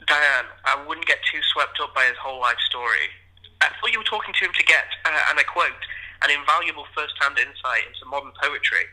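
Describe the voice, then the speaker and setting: A blunt, argumentative literary friend in a radio play. His speech is rapid and very bright, coming through a telephone filter. He sounds wry and skeptical. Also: a little high, expressive, full character voice, rough recording. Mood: exasperated. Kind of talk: audio play dialogue.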